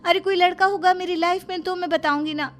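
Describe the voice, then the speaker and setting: A woman's voice: very high-pitched, fairly even intonation, fast talker, soft background music, pitched above the performer's own voice. A woman voicing a wronged, defiant young sister who protests indignantly in a cartoon family drama. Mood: indignant, pleading.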